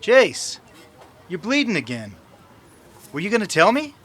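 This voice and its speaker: Bright-voiced, stubborn cowboy sidekick. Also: male voice, sits high, huge pitch swings, measured pace, a little hiss or hum, full character voice. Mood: concerned. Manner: defensive, plain-spoken. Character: stubborn, easygoing horse-thieving cowboy sidekick. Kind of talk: old-time western radio drama acting.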